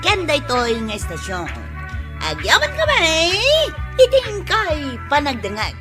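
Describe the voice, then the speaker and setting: A spirited, theatrical Ilocano radio drama voice. She is high and very animated, stretching her words slowly and exclaiming. Loud music plays behind her. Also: heavily acted. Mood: announcing. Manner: drawn-out, exclamatory. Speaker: actress and program announcer.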